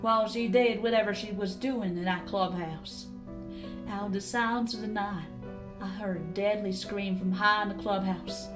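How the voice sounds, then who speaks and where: A woman's voice: medium pitch, fairly even intonation, normal speed, lightly acted. A woman voicing a frightened young farm mare confessing and pleading, in a dramatic fan fiction reading. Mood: haunted, recounting. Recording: background music under the voice.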